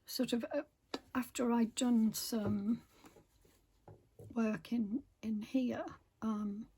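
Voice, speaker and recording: A woman vlogging casually while she stitches at home, rambling softly with many pauses, her voice croaky from a sore throat. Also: a little high, fairly even intonation. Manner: soft-spoken, rambling, many pauses and fillers, often half-whispered. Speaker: craft vlogger. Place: home room with window open.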